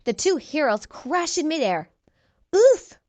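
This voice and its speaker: High, animated woman storybook narrator. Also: normal speed, dry recording. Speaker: children's read-aloud narrator.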